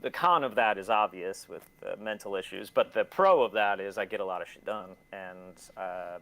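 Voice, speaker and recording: Deep-voiced, self-deprecating man chatting. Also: moderate pace, a bit bright, rough recording. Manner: self-deprecating, rambling, swears freely. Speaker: interview guest, record label and shop owner. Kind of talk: casual interview chat.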